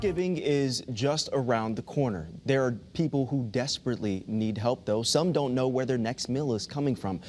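A young male news anchor reads his studio script in a polished, crisp manner. His pitch is moderate, and faint music plays under some of his lines. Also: normal speed, hardly any pauses.

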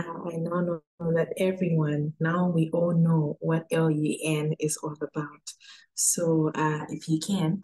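A woman's voice: fairly low pitch, dark tone, low-quality recording. A woman with a Zimbabwean accent, informally hosting an online event as the MC.

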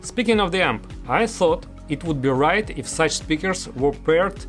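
Man in his thirties, slightly high voice, scripted reviewer delivery, music behind. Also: animated, measured pace. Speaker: audio gear reviewer, host. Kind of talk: scripted product review to camera. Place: small studio room, indoors.

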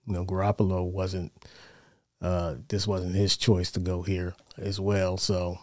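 A middle-aged American man giving casual sports commentary in a measured, conversational way, close on a studio mic. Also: sits low, flat delivery, dry recording. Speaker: sports show host. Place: small home studio, indoors. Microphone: close broadcast mic on boom arm.

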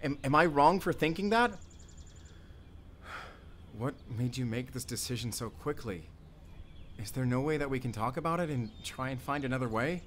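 A man's voice: medium pitch, expressive, normal speed, long pauses, slight background noise, natural voice. A man playing a hurt, pleading, lovelorn young man in an audio roleplay. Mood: upset, pleading.

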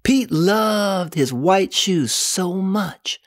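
Woman reading a children's story very slowly. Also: mid-range voice. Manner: slow, expressive, questioning delivery for young children. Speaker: storybook narrator. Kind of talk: children's read-aloud with sung refrains. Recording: music behind the voice. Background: guitar music.

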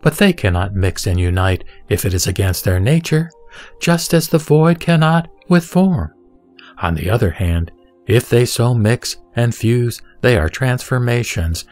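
A man voicing a serene, authoritative holy teacher in a scripture audio drama, solemn, didactic and measured. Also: medium pitch, animated, a little mellow, faint music behind, lightly acted. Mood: solemn teaching.

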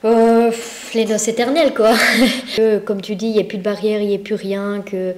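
A woman in her thirties with a Belgian French accent, talking frankly and quickly in casual discussion. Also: mid-range voice, little pitch movement. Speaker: co-host. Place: indoor studio set, echoey room. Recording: roomy echo.

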